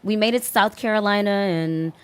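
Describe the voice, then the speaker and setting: A young woman from Newark with a slightly raspy voice, a guest singer chatting about her upbringing in a relaxed interview. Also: medium pitch, a little fast. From Newark, New Jersey. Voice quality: a bit raspy. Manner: laughing, storytelling, with jokes. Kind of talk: casual interview chat.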